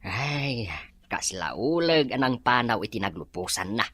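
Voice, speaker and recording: A man's voice: mid-range voice, very animated, unhurried, sharp tone, no room sound, exaggerated voice. An actor playing an elderly, worried village father in an Ilocano audio drama, with an Ilocano accent. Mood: surprised, fretful. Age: older.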